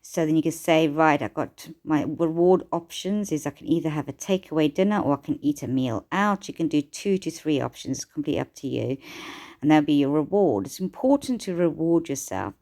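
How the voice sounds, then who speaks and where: A woman coaching viewers in a chatty, encouraging way, her voice a bit hoarse from a cold. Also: deep voice, lively intonation, a little fast, dark tone. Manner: chatty, encouraging, repeats and restarts. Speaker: life coach. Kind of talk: casual self-help talk to camera.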